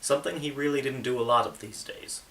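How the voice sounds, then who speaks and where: A man's voice: medium pitch, quick pace, gravelly, a bit bright, distant mic. A man who is an author, talking about and reading aloud from his own book. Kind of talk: casual book intro, then narrated reading.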